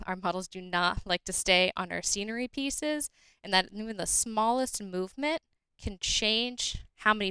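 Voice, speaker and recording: A woman's voice: mid-range voice, a bit bright, smooth, no room sound, rough recording. A woman presenting game tips as a guest, explaining steadily and in order.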